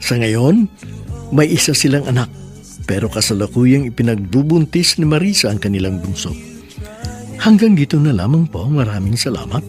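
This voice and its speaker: A male radio drama host who reads and narrates a listener's letter, animated and emphatic. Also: mid-range voice, normal speed, faint music behind.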